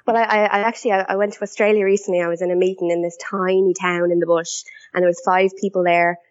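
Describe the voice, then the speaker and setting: A woman's voice: lowish voice, level tone, quick pace. An Irish woman in casual conversation, chatty, talking in loose restarts and fillers. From Ireland. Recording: dull lo-fi audio.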